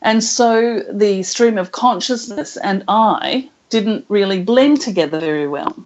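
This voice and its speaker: A woman being interviewed as a spiritual channeler, speaking slowly and thoughtfully with soft laughs. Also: medium pitch. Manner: slow, thoughtful, laughs softly. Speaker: guest channeler. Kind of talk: casual interview chat. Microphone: internet call. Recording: muffled call audio.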